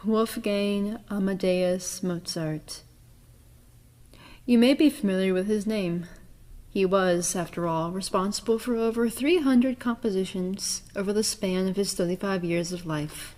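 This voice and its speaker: A woman's voice: mid-range voice, a little slow, darkish tone. Woman narrator in long, hall-like reverb. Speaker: author, director and narrator. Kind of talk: scripted storytelling narration.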